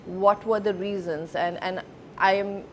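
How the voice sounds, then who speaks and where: Woman, 30s, from Sweden, interview guest, reflective and unhurried, mid-pitched voice, faint low rumble behind. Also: steady tone. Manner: thoughtful, many pauses and fillers. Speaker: guest, film producer.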